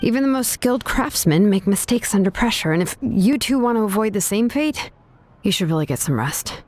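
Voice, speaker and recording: A woman's voice: mid-range voice, animated, a little fast, a little mellow, close to an everyday voice. A woman voicing a weary, exacting but easygoing blacksmith in a video game, casual and considerate. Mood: tired, reassuring.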